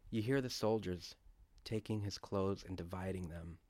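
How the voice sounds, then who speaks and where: A man leading a guided scripture meditation, speaking in a deliberate, reverent way with pauses. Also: low voice, a little mellow, no room sound. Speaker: guided prayer leader.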